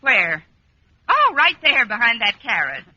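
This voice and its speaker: An actress playing a wry, long-suffering schoolteacher with dry sarcasm in an old radio comedy. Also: female voice, a little high, wildly swooping pitch, a little slow. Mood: wry exasperation. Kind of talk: 1940s-50s radio comedy acting. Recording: muffled, faintly noisy old radio recording.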